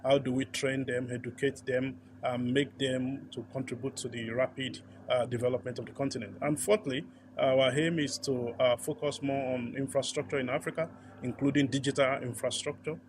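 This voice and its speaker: A man's voice: medium pitch, fairly even intonation, moderate pace. A man in an official role, explaining his organisation's aims. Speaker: official speaking about development. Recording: light background ambient noise.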